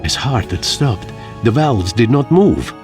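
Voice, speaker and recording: An actor playing a weary, pompous older adventurer, narrating his tale in a dramatic, florid, deliberate way for a game cutscene. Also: medium pitch, sing-song, darkish tone, soft background music. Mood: somber, reflective.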